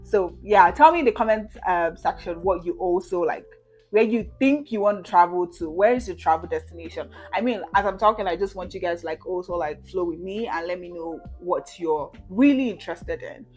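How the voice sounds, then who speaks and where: A woman's voice: lowish voice, moderate pace, a little mellow, faint music behind. A woman in her twenties from Nigeria, chatting casually to camera as she answers viewer questions, with frequent "you know" and "right?" and easy laughs. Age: 20s.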